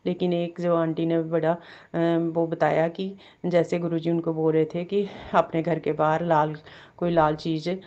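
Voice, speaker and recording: A woman with a sore throat sharing a devotional testimony, halting with many fillers and repeated blessings. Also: deep voice, monotone, darkish tone, muffled recording. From Jammu.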